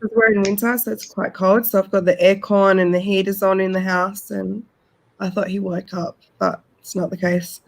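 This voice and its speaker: A woman's voice: mid-range voice, level tone, moderate pace, vocal fry, rough recording. A woman with an Australian accent, chatting casually as a panel guest and telling her own story.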